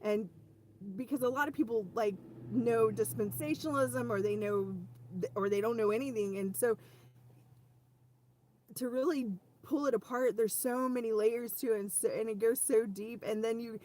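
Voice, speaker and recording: A woman with a slightly high voice, hoarse and wearing thin, hosting a relaxed faith livestream for her viewers. She rambles, restarts her sentences often and leans on "you know.". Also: moderate pace. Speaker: ministry host speaking to viewers. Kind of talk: casual faith talk on a livestream.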